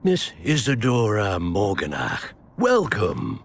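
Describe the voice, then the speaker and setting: Low, creaky older wizard professor. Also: male voice, wildly swooping pitch, slow, slight background noise, heavily acted. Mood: measured, formal. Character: grave, wise older male wizard professor. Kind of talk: fantasy video game cutscene acting.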